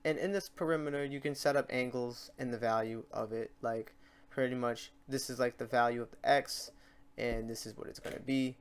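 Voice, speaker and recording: Male tutorial host, medium pitch, informal and off the cuff, muttering his way through on-screen steps. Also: steady tone, brisk, slight background noise. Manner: informal, talks to himself while clicking through steps. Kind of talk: casual unscripted screen-recorded tutorial narration.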